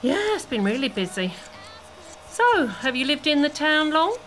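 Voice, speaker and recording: A woman's voice: high-pitched, big pitch swings, a little slow, fairly bright tone, faint music behind, exaggerated voice, low-quality recording. A woman playing a chatty, gossipy, bustling hair salon owner in an audio play, gushing and exclaiming. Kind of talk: amateur audio play acting.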